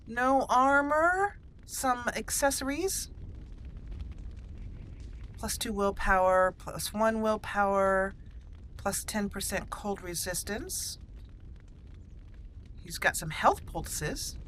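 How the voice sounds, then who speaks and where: A woman's voice: on the high side, fairly bright tone, slight background noise. A woman giving casual commentary as she plays and roleplays through a fantasy video game. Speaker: gaming channel host, player. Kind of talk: casual let's play commentary with in-character roleplay.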